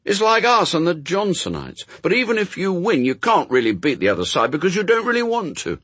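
Earnest young boy, swooping pitch, done by a man. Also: wildly swooping pitch, a little fast, a bit bright, no room sound, heavily acted. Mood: earnest protest. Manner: plain-spoken, childlike, run-on argument. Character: Adam, earnest, stubbornly reasonable young boy.

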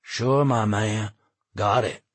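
Audiobook role: aging hippie doomsayer, played by a male narrator, sardonic and easygoing, clean smooth close sound. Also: fairly low pitch, steady tone, slow pace, no room sound. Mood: laid-back, amused. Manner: drawling slang, smiling, sardonic. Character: laid-back aging hippie man, sardonic, cheerful doom prophet.

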